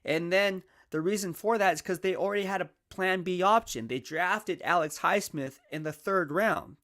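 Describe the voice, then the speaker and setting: A man talks through football team rosters in a lively, conversational monologue, constantly tossing in quick checks like "right?" to keep listeners with him. His voice is bright and a touch higher than most men's, with a slight hoarseness from losing his voice. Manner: energetic, conversational, peppered with "right?" and "all right?". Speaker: football analyst and show host. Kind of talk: casual sports commentary monologue.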